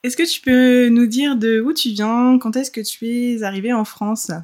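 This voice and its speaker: A woman's voice: a little high, steady tone, normal speed, darkish tone. Woman podcast host, friendly, welcoming, conversational. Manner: friendly, welcoming, asks open questions. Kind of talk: casual interview chat.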